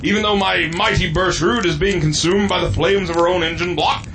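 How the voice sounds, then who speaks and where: Man playing a pompous, higher-voiced small-town mayor. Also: fairly even intonation, brisk, noisy background. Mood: pompous, unbothered. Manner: florid, mock-archaic speech. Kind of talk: audio comedy drama acting.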